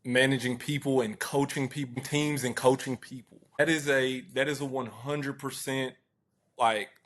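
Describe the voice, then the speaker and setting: Thoughtful mid-pitched man answering questions. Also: fairly even intonation, normal speed, fairly bright tone. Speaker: interview guest, car dealership internet director. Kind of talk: conversational interview answers.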